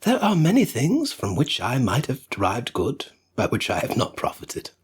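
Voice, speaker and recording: A man voicing a cheerful, good-natured young man, pitched well up and sounding eager. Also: huge pitch swings, normal speed, breathy edge, exaggerated voice.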